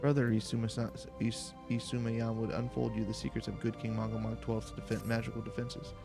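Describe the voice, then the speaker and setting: A man voicing a solemn, courteous fantasy game elder, speaking in formal, measured, archaic phrases. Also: on the low side, monotone, creaky, music in the background. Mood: grave.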